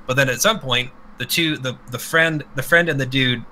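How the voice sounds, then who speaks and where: Bright, forceful man swapping crude podcast banter. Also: mid-range voice, normal speed. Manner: crude, profane, rambling. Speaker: podcast co-host. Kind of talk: casual podcast banter and storytelling.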